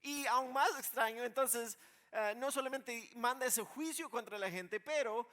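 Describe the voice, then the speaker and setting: A male preacher with a notably high voice explains scripture in everyday words. He swings from quiet reflection to insistent emphasis, often repeating a word as he restarts a phrase. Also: measured pace. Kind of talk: expository sermon, explaining scripture in plain conversational words.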